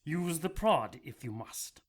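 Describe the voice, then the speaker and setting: A man's voice: a little high, lively intonation, measured pace. An actor playing a cruel witch-hunting religious brother in an audio drama, pompous, stern and threatening. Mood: cold, threatening. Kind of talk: audio drama villain acting.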